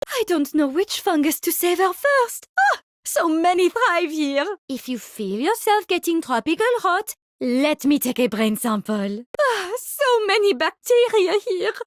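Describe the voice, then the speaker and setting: A macabre French lady doctor from a video game, obsessed and giddy. Her voice sits high and leaps about in pitch with theatrical, genteel relish. The sound is dry, with no room around it. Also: big pitch swings, unhurried, dry recording, full character voice. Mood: gleeful, fascinated. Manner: theatrical, gleefully morbid, genteel wording. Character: giddy, macabre woman mad-scientist fungus doctor. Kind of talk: video game hero voice lines.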